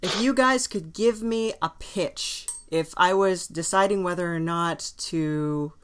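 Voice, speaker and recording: A woman's voice: on the low side, a little slow, smooth. A woman hosting a podcast, chatting casually as she interviews her guests. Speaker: podcast host interviewing a band.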